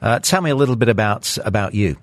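A man's voice: on the low side, expressive, quick pace. A man hosting a radio show, chatting with guests in a live interview style.

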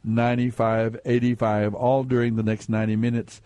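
A man's voice: mid-range voice, little pitch movement, normal speed, warm tone, dry recording. Male call-in radio host, folksy and rambling, dry close mic. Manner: rambling, folksy, restarts and fillers, laughs easily. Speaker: radio quiz show host. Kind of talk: casual call-in radio talk.

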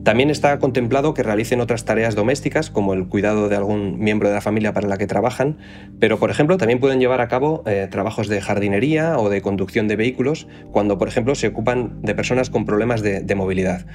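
A man's voice: lowish voice, fast talker, a little mellow, soft background music. A man working as an economics reporter, giving careful, explanatory interview answers with some fillers.

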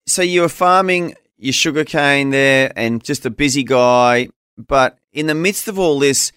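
A man's voice: medium pitch, fairly bright tone, no echo. An Australian man hosts a radio interview in a mid-range voice. He sounds conversational and affirming, summing up and prompting as he goes. The recording is dry, with very little room sound.

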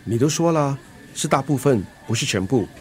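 A man's voice: medium pitch, animated, normal speed, close to an everyday voice. An actor playing a breezy, caring middle-aged father and musician in a radio drama, flippant, with a Singaporean accent. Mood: earnest. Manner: flippant, bantering. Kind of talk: Mandarin radio drama acting.

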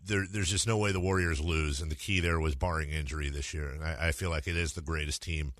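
Very deep-voiced male sports radio host. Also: steady tone, fast talker, a bit bright, dry recording, clean studio sound. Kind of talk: conversational sports interview.

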